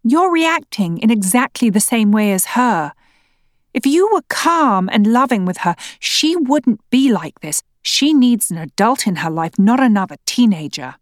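A woman voicing a worn-out, angry father and husband in a family argument, shouting and pleading. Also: a little high, very animated, unhurried, no room sound, studio quality. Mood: scornful. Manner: raised, pleading, exasperated. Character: angry exhausted middle-aged stepfather and husband.

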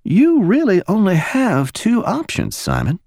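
A man voicing a poised woman security officer who speaks softly and persuasively in a silky Alabama drawl. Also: high-pitched, wildly swooping pitch, a little slow, gravelly, dry recording, studio quality, pitched above the performer's own voice, exaggerated voice. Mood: silky, insistent. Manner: silky, soft-spoken.

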